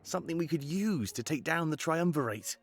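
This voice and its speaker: An actor voicing a brooding, determined rebel leader in a fantasy visual novel, musing solemnly. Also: male voice, a little high, animated, brisk, slightly rough, no echo, soft background music. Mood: brooding, hopeful.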